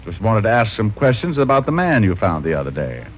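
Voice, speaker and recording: A man's voice: fairly low pitch, very animated, brisk, breathy edge. A man playing a wry, wisecracking American private detective, acting and narrating in an old-time radio drama. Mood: nervous joking. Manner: wry, self-mocking. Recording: dull old radio recording with music.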